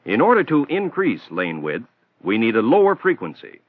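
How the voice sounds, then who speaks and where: A man giving measured, scripted technical narration for an instructional film. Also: medium pitch, huge pitch swings, no room sound. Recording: tinny narrow-band old film soundtrack.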